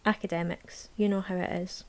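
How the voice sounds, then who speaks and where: A woman's voice: lowish voice, little pitch movement, fairly quick, darkish tone, natural voice. A blunt, defensive young student , voiced by a woman narrating a novel. She talks in a self-conscious, awkward way, a little brusque.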